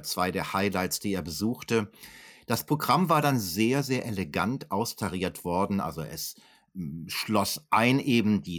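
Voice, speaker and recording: A man's voice: sits low, fairly bright tone. A man speaking as an expert in an interview, explaining in educated, articulate phrasing with frequent hesitations and self-corrections. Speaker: historian being interviewed as an expert. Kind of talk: explanatory interview answers, unscripted.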